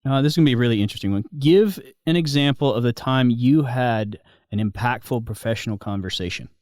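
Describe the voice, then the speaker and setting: A man's voice: mid-range voice, fast talker, a little mellow, no echo, clean studio sound. Easygoing man hosting a podcast. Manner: easygoing, hesitant restarts, friendly. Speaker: podcast host. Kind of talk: casual podcast chat.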